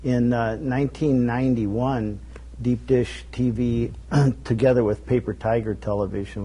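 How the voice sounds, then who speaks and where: A man as a guest on a talk show, explaining his work earnestly and at a measured pace, with frequent fillers and restarts. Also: on the low side, warm tone, slightly rough, rough recording. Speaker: guest, documentary network director.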